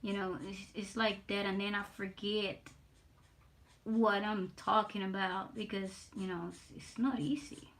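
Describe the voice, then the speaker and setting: An older woman with a Puerto Rican Spanish accent and a Southern US twang talks slowly to her stream viewers as she draws. She is nervous, stops and repeats words, and keeps her voice soft and mid-pitched. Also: steady tone, slight background noise. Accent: Puerto Rican Spanish mixed with Southern US. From Puerto Rico. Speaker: livestream artist. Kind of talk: casual live stream chat while drawing.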